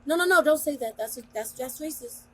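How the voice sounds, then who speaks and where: A woman's voice: sits high, brisk. A young woman co-hosting a podcast who talks bluntly in slang and acts out what other people say. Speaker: podcast co-host. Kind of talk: casual chat. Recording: virtual call, faint background noise.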